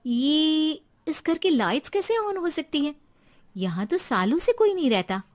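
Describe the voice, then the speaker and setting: Woman voicing a shrill, feisty young Indian housewife. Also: sing-song, measured pace. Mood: terrified. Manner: dramatic, shrill, sharp-tongued. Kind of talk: animated horror-comedy story dialogue.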